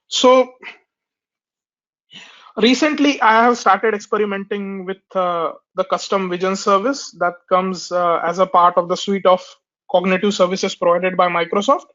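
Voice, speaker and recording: A man's voice: sits high, steady tone, a little slow, sharp tone. Nasal man presenting a tech webinar. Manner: hesitant, many fillers and restarts. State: nasal from a cold. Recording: dull lo-fi webinar audio.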